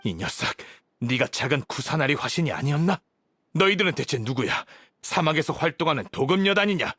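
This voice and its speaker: Husky, gruff cornered hunter. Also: male voice, a little high, sing-song, a little fast, a bit bright, no echo, heavily acted. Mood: suspicious. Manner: suspicious, confrontational. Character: gruff, alarmed hunter caught in an ambush. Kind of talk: dramatic Korean game voice acting.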